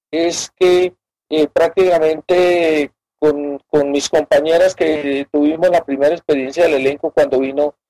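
A man's voice: a little high, a little mellow, no echo, poor audio. A man in his fifties speaks as a radio guest, reflecting thoughtfully and searching for his words.

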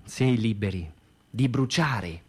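A man's voice: lowish voice, lively intonation, takes it slowly, darkish tone, breathy edge, exaggerated voice. An actor playing a wry, nostalgic man narrating theatre memories for radio. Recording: music behind the voice. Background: music.

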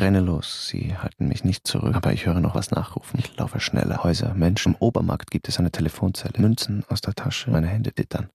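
Low gravelly man narrating a radio play. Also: darkish tone, dry recording, clean studio sound. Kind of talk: first-person radio drama narration.

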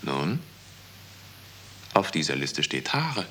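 An actor playing a cynical, unruffled office clerk in a 1960s German radio drama, sardonic and insinuating. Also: male voice, extremely low pitch, big pitch swings, slow pace, bright, forceful tone, heavily acted. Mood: coolly pressing. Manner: sardonic, insinuating, coolly didactic. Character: cynical, unruffled male clerk of a murderous bureaucracy. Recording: faintly hissy 1960s radio recording.

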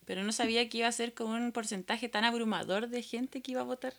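A woman with a Chilean accent co-hosts a radio programme. Her voice is fairly high and very bright, and she talks in a casual, chatty style. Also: fairly even intonation, fast. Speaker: radio show co-host. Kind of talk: casual chat.

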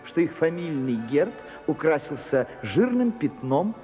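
A man's voice: on the high side, very animated, a little slow, mellow, soft background music. Man putting on a melodramatic scolding mother, wildly swooping. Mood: scornful. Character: domineering, melodramatic, scornful middle-aged mother, female. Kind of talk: radio drama acting, play within a play.

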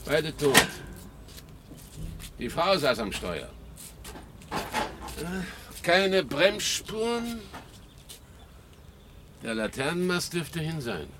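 An actor playing a terse, matter-of-fact investigator speaking in clipped phrases in a 1970s radio play. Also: male voice, on the high side, sing-song, very slow, long pauses, exaggerated voice. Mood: matter-of-fact, grim. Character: terse investigator at an accident scene. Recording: noisy outdoor scene effects.

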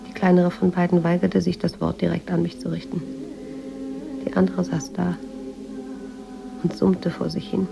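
Radio drama lead: weary woman writer, very gravelly, subdued, evenly pitched narration. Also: monotone, normal speed, pauses often, music in the background. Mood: pensive, uneasy. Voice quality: husky, creaky. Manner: subdued, reflective, literary narration.

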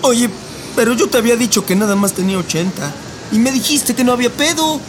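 An actor in a radio drama playing a young, anxious working-class Mexican migrant with a slangy, earnest way of speaking and a Mexican accent. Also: male voice, very high voice, lively intonation, quick pace, background noise. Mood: pleading, agitated.

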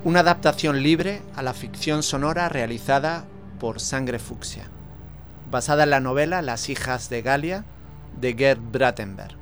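A man's voice: medium pitch, unhurried, fairly bright tone. A man reading a scripted credits announcement as an announcer, with music behind him. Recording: voice over prominent music.

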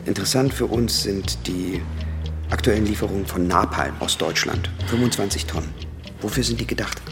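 An actor playing a sober, methodical investigator in a radio drama, laying out a conspiracy with probing questions. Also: male voice, fairly low pitch, normal speed, close to an everyday voice. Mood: analytical. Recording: studio radio drama with music underneath. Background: music.

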